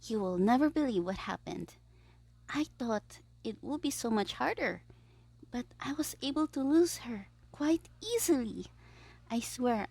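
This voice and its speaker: A woman voicing a gleeful, scheming young male supervillain in an ASMR audio roleplay, theatrical and petulant, musing aloud with breathy asides. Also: on the high side, a little mellow, dry recording.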